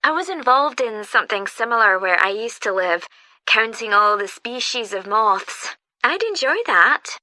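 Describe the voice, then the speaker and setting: Bright-voiced, keen British newcomer. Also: female voice, on the high side, lively intonation, moderate pace, dry recording. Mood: keen, polite. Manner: polite, eager, inquiring. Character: keen, friendly female newcomer asking to volunteer. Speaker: actor in a listening test dialogue. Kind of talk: scripted everyday conversation, clearly enunciated.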